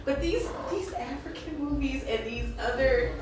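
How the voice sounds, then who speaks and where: An American woman hosting a casual interview, speaking in an informal, expressive, conversational way. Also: sits high, moderate pace, soft background music. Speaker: interviewer, host. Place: echoey room at a party. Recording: echoey room, distant mic, crowd chatter.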